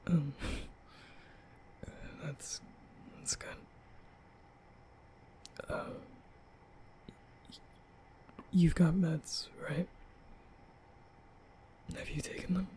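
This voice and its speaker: A man playing a nervous, caring young vampire in an ASMR roleplay, speaking softly and hesitantly with stammers in a breathy whisper. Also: fairly high pitch, steady tone, extremely slow, long pauses. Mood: nervous, gentle. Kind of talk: ASMR roleplay, soft comforting boyfriend audio.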